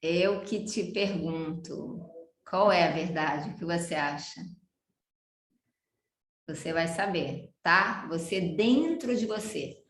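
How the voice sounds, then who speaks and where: A woman with a Brazilian accent teaching a yoga class over a video call. She talks in an animated way, often turning to her students with questions. Her voice sounds roomy, as if she is speaking in an echoing space. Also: fairly low pitch, takes it slowly. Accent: Brazilian Portuguese. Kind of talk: live online class lecture. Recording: roomy echo.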